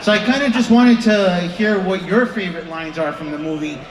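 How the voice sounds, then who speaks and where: Brief: hesitant male fan, high voice, echoey room. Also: a little fast. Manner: shy, hesitant. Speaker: fan asking a question. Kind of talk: audience Q&A. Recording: echoey hall.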